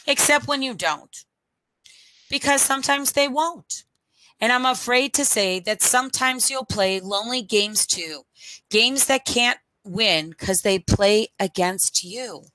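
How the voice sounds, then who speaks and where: Storytime reader: middle-aged woman, bright forward tone, unhurried deliberate phrasing, headset mic. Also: on the high side, no room sound, rough recording. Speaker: storyteller reading to children. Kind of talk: read-aloud picture book storytime. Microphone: headset microphone.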